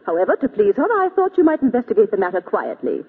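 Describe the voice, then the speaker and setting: An actress playing an imperious older high-society aunt with a commanding, clipped manner in an old radio drama. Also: female voice, wildly swooping pitch, fast, breathy edge, heavily acted. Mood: haughty, dismissive. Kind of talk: 1930s-40s radio acting. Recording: muffled old radio broadcast recording.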